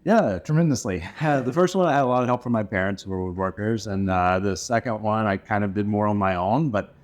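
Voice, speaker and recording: A man in his thirties from North Carolina, an instrument maker answering interview questions in an easygoing, thoughtful way with many pauses. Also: fairly low pitch.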